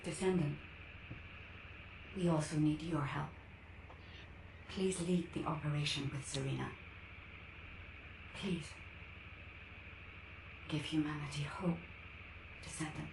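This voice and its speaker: A lowish, composed voice of a game analyst, formal and precise as she briefs the hero. Her voice is echoey with steady background noise. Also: slow pace, creaky, pauses often, exaggerated voice.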